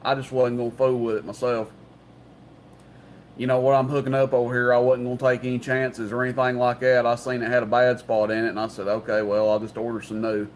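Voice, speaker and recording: A man's voice: mid-range voice, flat delivery, fairly quick. A man explaining technical things casually and carefully on a CB radio chat. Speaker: CB radio operator. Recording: CB radio chat with faint noise.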